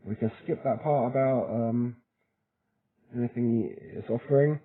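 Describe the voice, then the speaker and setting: A man's voice: mid-range voice, a little slow. A man presenting a how-to tutorial in an informal, chatty way, often checking in with the listener as he explains each step. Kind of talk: casual how-to walkthrough. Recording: thin, phone-like narrow-band audio.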